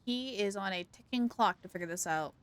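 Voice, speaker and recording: A woman's voice: mid-range voice, a little fast. A woman streaming a game, giving casual live reactions and commentary. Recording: game music behind voice.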